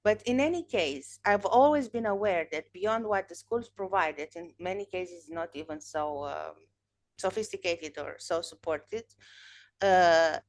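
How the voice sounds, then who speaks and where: Low-voiced woman hosting over video call. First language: Romanian. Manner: thoughtful, earnest, with frequent restarts. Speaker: podcast host. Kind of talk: casual interview chat. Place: home room, indoors. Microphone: video call webcam.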